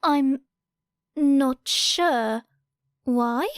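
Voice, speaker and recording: Woman playing high, careful, polite schoolgirl. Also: very slow, no echo, clean studio sound. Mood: hesitant, curious. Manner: careful, well-spoken. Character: polite, hesitant, thoughtful teenage schoolgirl. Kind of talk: audiobook character voice.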